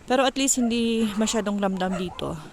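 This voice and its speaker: A woman with a Filipino accent chatting casually and haltingly for a vlog while walking outdoors, mixing in English phrases. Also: mid-range voice. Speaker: vlogger. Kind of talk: casual walk-and-talk vlog chat. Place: outdoors on a windy countryside walk. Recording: noisy windy outdoor ambience.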